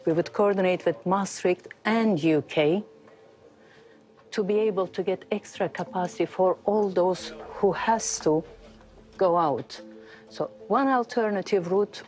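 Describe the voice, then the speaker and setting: A middle-aged woman explains procedures as an expert in an interview. Her voice is somewhat low, she pauses within sentences, and light music plays underneath. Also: darkish tone. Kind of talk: explaining at a display.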